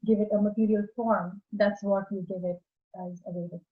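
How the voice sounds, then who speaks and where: A woman's voice: medium pitch, steady tone. Indian-accented woman on a video call. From India (Maharashtra). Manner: measured, explanatory, slightly halting. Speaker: guest architect. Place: small room indoors. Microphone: earphone mic on video call. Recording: slightly dull video call audio.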